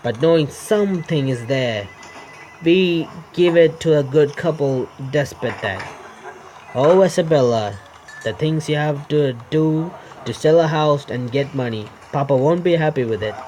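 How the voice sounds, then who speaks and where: A man's voice: on the high side, expressive, unhurried, a little mellow, a little hiss or hum, poor audio. A man reading a visual novel's narration aloud for a let's play, often stumbling over the words.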